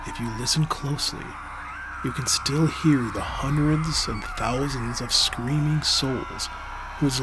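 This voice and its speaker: A hard-boiled male guardian , played by a man, narrates in the first person with a medium-pitched voice. His delivery is dramatic, dry and noirish, with music clearly underneath. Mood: ominous. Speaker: narrator and lead.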